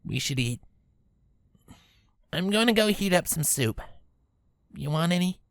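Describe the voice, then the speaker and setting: A man voicing a protective, tender female pegasus pony in a fan fiction story, talking softly and reassuringly. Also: high-pitched, expressive, a little slow, clean tone, dry recording, studio quality, pitched above the performer's own voice. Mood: caring, casual. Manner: soft, gently reassuring.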